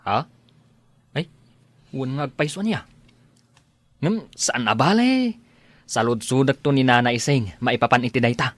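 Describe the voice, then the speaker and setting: A man's voice: medium pitch, animated, a little slow, long pauses, no room sound. A man with an Ilocano accent playing a smitten, bashful young suitor in an audio drama, hesitant and stammering. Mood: smitten, eager. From Philippines.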